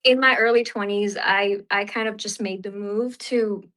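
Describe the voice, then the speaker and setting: A woman's voice: mid-range voice, fairly even intonation, normal speed, a bit bright, poor audio. A young woman from Fiji, a podcast guest and economist, talking casually with many fillers. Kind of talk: casual interview.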